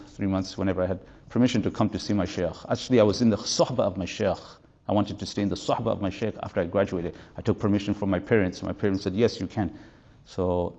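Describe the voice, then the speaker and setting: A man's voice: lowish voice, warm tone, highs cut off. A man of fifty giving an informal religious lecture as a teacher, rambling through anecdotes and mixing in Arabic and Urdu terms. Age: 50s.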